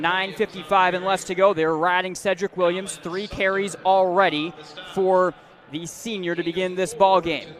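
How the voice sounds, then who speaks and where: A man's voice: sits high, a bit bright. A man doing live radio play-by-play of a football game, describing the action fluently and in detail. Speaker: play-by-play sports announcer.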